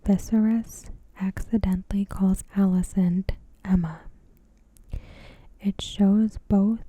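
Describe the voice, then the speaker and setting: A woman speaks in a soft, breathy whisper, very mellow and dark in tone. She delivers ASMR commentary slowly and deliberately, with gentle, measured phrasing. The sound is close and dry. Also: medium pitch, dry recording. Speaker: video host.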